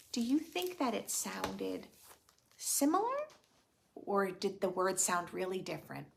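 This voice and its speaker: A woman hosting storytime, reading a picture book aloud to preschoolers in a gentle, expressive way and explaining it simply. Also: fairly low pitch, gravelly, a little hiss or hum. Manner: gentle, expressive, addressing young children with questions. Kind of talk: children's read-aloud with simple explanations and questions.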